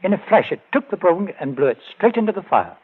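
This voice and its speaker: An actor playing a grieving brother set on finding a murderer, earnest and urgent in 1940s radio drama style. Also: male voice, high voice, sing-song, fast, slightly rough, exaggerated voice. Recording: tinny old radio broadcast.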